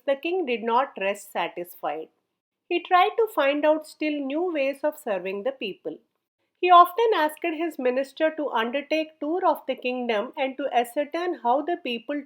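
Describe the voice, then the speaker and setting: A woman storyteller reading a scripted folk tale as narration. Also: high voice.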